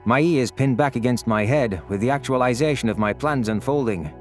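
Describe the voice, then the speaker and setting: A man's voice: mid-range voice, brisk, faint music behind. A man reading scripted first-person science fiction narration as a story narrator. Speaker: narrator of audio stories.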